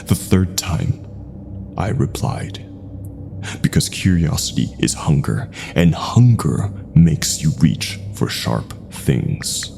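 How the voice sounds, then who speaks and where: A man reads a scripted horror story in a very low, gravel-edged voice. His delivery is slow and deliberate, with hushed phrase endings. There is faint noise behind him. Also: expressive.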